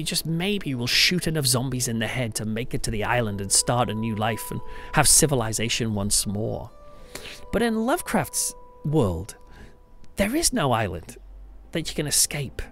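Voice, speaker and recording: Male podcast host, very lively intonation, emphatic and reflective, conversational monologue with light music underneath. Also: medium pitch. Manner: animated, emphatic, reflective storytelling delivery. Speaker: podcast host and church pastor.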